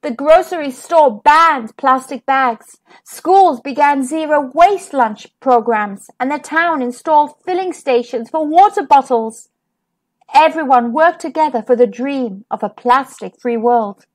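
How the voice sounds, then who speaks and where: Female children's storyteller, very high pitch, unhurried pace, expressive read-aloud with stretched emphasis. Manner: expressive, drawn-out delivery with emphasized key words.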